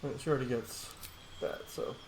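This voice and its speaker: A man's voice: moderate pace, a little mellow, a little hiss or hum, low-quality recording. A man doing casual gameplay commentary as a video host, talking to himself informally and swearing now and then.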